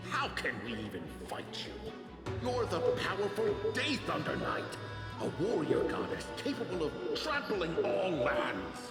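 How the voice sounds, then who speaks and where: An actor playing a fearful, pious warrior in a video game, pleading in a declamatory way. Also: male voice, high-pitched, huge pitch swings, unhurried, exaggerated voice. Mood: fearful, awed. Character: fearful, pious male warrior of sun worshippers. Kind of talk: dramatic video game voice acting. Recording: echoey, loud music behind.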